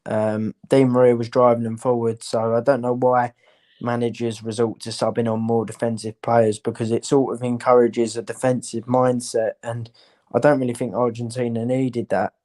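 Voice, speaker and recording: A man's voice: medium pitch, little pitch movement, normal speed, a little mellow. A man giving blunt, opinionated football analysis as a panellist in casual chat.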